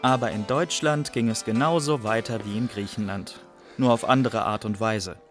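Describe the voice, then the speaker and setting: A man's voice: fairly low pitch, normal speed, soft background music, natural voice. A man playing a resourceful, wry young refugee narrating in a literary radio play. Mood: wry, factual.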